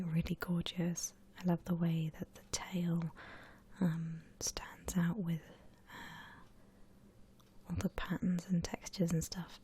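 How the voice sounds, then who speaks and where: A woman whispers softly and breathily as she hosts a gentle ASMR art tutorial. Her delivery is slow and unhurried, with a dark, mellow tone. There is faint noise behind her voice. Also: lowish voice. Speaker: ASMR art channel host.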